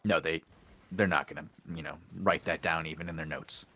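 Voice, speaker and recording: A man giving excitable live color commentary on an online game battle, alongside a co-caster. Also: brisk. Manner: excitable, pitch jumps on big moments. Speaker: esports co-caster.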